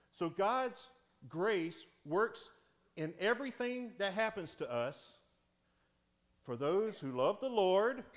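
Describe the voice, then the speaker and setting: A fairly high-voiced man preaching in a church. He is a deacon with a big voice, and he swings between booming emphasis and near-whispers. He speaks into a microphone he wears. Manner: animated preaching, swings from loud to hushed. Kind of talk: sermon. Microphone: wearing a microphone.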